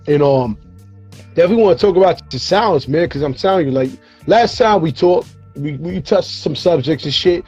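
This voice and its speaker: Tired, husky-voiced male show host. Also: on the high side, expressive, moderate pace, darkish tone, muffled recording, faint music behind. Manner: laid-back slangy talk with frequent fillers and cursing. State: losing his voice, tired. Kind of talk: casual hip-hop interview chat.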